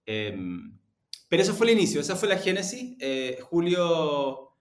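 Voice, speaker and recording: A man's voice: a little high, big pitch swings, measured pace. A man with a Chilean accent giving reflective interview answers, hesitating as he searches for words. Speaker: interview guest, audio production executive. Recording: roomy, echoey sound.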